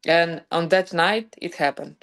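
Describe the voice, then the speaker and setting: A woman's voice: on the low side, measured pace, a bit bright. A woman from Ukraine with a Ukrainian accent, a professional beach volleyball player telling her story plainly in an interview. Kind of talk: recorded interview.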